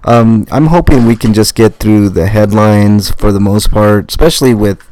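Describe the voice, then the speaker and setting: A man hosting a live podcast, talking casually with a hoarse voice in a rambling, blunt way, full of fillers. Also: on the low side, moderate pace, dark tone, rough recording. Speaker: podcast host. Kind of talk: casual live-stream chat.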